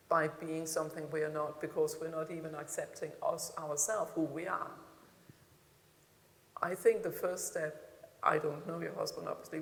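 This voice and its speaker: A woman's voice: deep voice, a little hiss or hum. A middle-aged woman from Denmark with a Danish accent, speaking candidly and reflectively as a panel speaker, with dry humour. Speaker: keynote speaker. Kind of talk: answering audience questions at a panel. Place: large hall. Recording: echoey hall with faint ambience.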